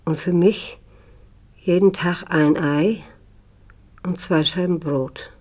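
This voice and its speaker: An older female voice, rather low and very unhurried, as a kindly old aunt in a radio play. She rambles through memories, questioning herself in a homely way. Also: fairly even intonation, a little mellow. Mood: reminiscing. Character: kindly old aunt, wartime refugee, reminiscing. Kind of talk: radio drama storytelling.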